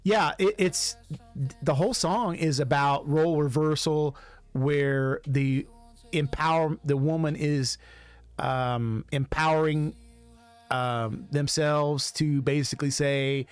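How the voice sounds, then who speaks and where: A man's voice: a little high, takes it slowly, clean tone, no echo. A man giving casual reaction commentary, hesitant and rambling as he thinks aloud. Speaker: music video reaction host.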